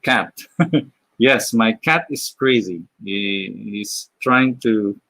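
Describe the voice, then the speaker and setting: A man from the Philippines with a Filipino accent, chatting casually on a live stream while drawing, halting, with many fillers and restarts. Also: lowish voice, fairly bright tone, no room sound. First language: Filipino (Tagalog). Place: home.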